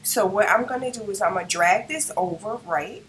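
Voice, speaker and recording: A hoarse woman narrating a step-by-step design tutorial, describing each click as she works and checking in with the viewer. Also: mid-range voice, fairly quick, fairly bright tone. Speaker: crafting tutorial host. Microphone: no microphone, device's built-in mic.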